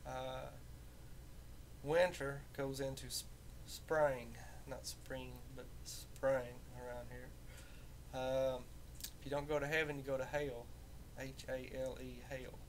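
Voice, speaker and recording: A man speaks casually with a softened Southern Appalachian accent at a middling pitch. His delivery is folksy and halting, as in an unscripted personal talk. A faint rumbling noise sits behind the voice in what sounds like a home recording. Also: flat delivery. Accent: Southern Appalachian American, somewhat softened. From rural Southern United States, southern Appalachia.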